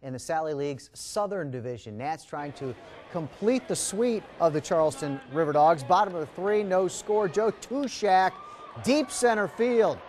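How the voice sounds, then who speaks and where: High-pitched male sports anchor. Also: lively intonation, moderate pace, darkish tone. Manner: punchy, emphatic announcer delivery. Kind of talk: scripted sports highlights narration. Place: TV news studio.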